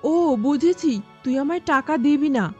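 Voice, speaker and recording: High voice, muffled sound, woman as a bossy moneylender pigeon, curt and pushy, children's cartoon. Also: normal speed, faint music behind, close to an everyday voice. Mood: threatening. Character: demanding money-lending pigeon, stern and pushy neighbour.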